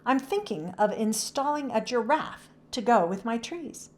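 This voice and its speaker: A friendly young man from a storybook, voiced by a woman reader. He is kindly and gently wry. His lines move in a varied, lively pitch. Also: measured pace. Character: thin, tired, kindly joking young man.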